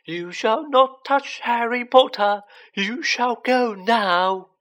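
A little male elf servant from a storybook, squeaky and pitched far up. He draws his words out slowly, with wide-eyed devotion and earnestness. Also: a bit bright. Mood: fierce. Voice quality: shrill, squeaky. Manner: slow, drawn-out, wide-eyed, speaks of himself in third person.

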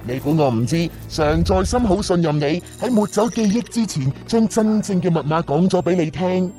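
A smug thief played by a man taunts in with a fairly high voice. The villain sneers theatrically in radio-drama style, with music underneath. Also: animated, normal speed, dark tone. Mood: smug taunting. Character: smug, mocking master thief in disguise, taunting captives.

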